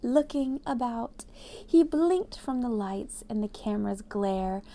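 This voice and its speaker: A woman's voice: a little high, animated, takes it slowly, dark tone. A woman hosting a children's read-aloud, telling the story expressively and putting questions to young listeners. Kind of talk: storybook narration with asides to children.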